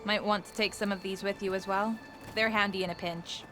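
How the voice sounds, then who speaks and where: A woman's voice: medium pitch, fairly even intonation, fairly quick, music in the background, lightly acted. A woman playing a practical, teasing soldier in a video game, speaking casually with dry humour. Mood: friendly. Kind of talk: video game cutscene acting.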